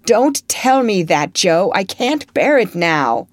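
A woman's voice: fairly low pitch, animated, unhurried, darkish tone, no echo. A woman voicing a passionate, heartbroken young man pleading in love, in a storybook reading. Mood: fierce. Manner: pleading, impassioned.

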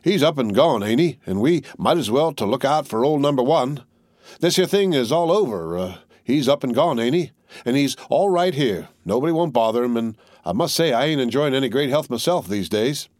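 A man voicing a wounded rural soldier who talks in a halting, drawling backwoods dialect, for an audiobook. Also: medium pitch, expressive, darkish tone, no room sound, clean studio sound, pitched above the performer's own voice, natural voice. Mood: rambling folksy. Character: wounded rural male soldier, rambling, folksy. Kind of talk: audiobook character voice.

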